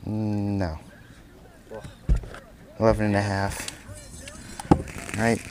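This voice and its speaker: Young adult man, low voice, casual fishing chat, noisy action-camera audio outdoors. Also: little pitch movement, drawn-out words. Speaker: vlogger and angler. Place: open boat on a river, outdoors. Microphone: chest-mounted action camera.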